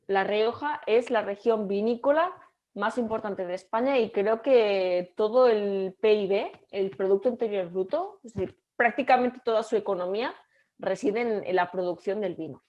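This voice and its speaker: A young Catalan woman with a Catalan Spanish accent, giving an online lecture over a video call and speaking haltingly with frequent fillers and restarts. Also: mid-range voice, a little mellow, muffled recording. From Catalonia, Spain. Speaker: guest lecturer.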